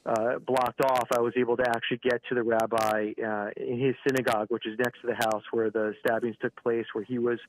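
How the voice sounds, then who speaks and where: A man giving a live news interview by phone, halting and repeating words, often saying "you know". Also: mid-range voice, level tone. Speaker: regional director of an advocacy organisation, interview guest by phone. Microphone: telephone. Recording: phone call with clear background noise.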